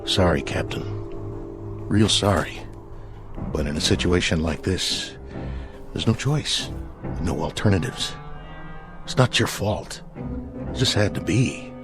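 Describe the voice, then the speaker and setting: Over clear background music, a desperate, ruthless astronaut stranded and turned killer. His delivery is slow and weighty, with pitch that swings widely in full radio-play style. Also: animated, heavily acted. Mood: cold, callous. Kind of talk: dramatic radio play acting.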